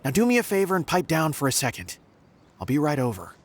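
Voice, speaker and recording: Casting: wry, defensive game frog, slightly high voice, lively intonation. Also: male voice, fast, slight background noise. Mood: exasperated. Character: hesitant, wry male paper frog singer. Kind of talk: video game voice acting.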